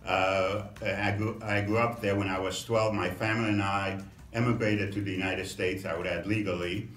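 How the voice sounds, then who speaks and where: A middle-aged man from Romania, a congressional candidate, giving a measured campaign introduction with frequent pauses and fillers. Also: deep voice, little pitch movement, fairly bright tone. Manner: measured, halting, many fillers. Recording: echoey room, mic at a distance.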